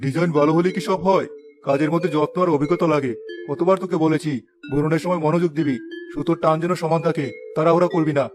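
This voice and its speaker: A man voicing a principled older village weaver father in a moral-story cartoon, giving measured advice and polite admonishment. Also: a little high, steady tone, over music, close to an everyday voice. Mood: admonishing.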